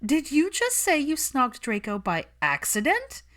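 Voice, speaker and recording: A woman voicing a brash, pushy young woman in a storybook reading, loud, needling and emphatic, shameless and teasing. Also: high-pitched, huge pitch swings, a little slow, pitched above the performer's own voice, exaggerated voice. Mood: incredulous.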